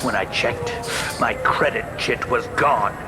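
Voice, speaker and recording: Slow, peevish alien grumbler played by a man. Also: mid-range voice, over loud music, natural voice. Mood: indignant. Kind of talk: video game voice acting.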